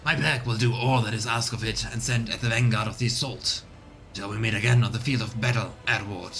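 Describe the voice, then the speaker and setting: Casting: man acting a proud, bloodthirsty warrior for a sci-fi game, deepish voice, declamatory, faint music underneath. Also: steady tone, brisk, pushed and bright. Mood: boastful. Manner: grandiose, declamatory. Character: boastful, bloodthirsty male space warrior of a wolf pack. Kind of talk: dramatic video game character reading.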